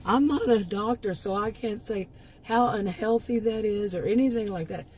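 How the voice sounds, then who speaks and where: Mellow-voiced woman ranting, voice going hoarse. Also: mid-range voice, moderate pace, a little hiss or hum, rough recording. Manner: rambling, emphatic, with frequent restarts and asides. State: losing her voice. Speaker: commentary channel host. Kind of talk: casual opinion monologue.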